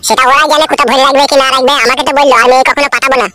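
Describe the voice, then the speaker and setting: High-pitched, quarrelsome cartoon son-in-law. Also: female voice, steady tone, rapid-fire, pushed and bright, no room sound, studio quality, soft background music. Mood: sulky accusing. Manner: complaining, sarcastic, argumentative. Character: aggrieved, foul-mouthed, quarrelsome young cartoon son-in-law. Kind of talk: crude comedy cartoon dubbing.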